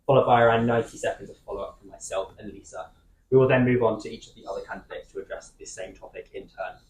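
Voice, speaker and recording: A young man moderating a student debate, explaining the format quickly with some fillers. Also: mid-range voice, a little mellow, reverberant, rough recording. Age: young adult. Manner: quick, slightly halting with fillers.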